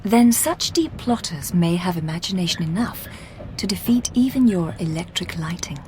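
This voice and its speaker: An actress playing a sharp lady detective who speaks in a crisp, composed way. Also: on the low side, animated, normal speed, a little hiss or hum, natural voice. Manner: crisp, composed, clipped when dictating notes. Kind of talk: radio drama acting.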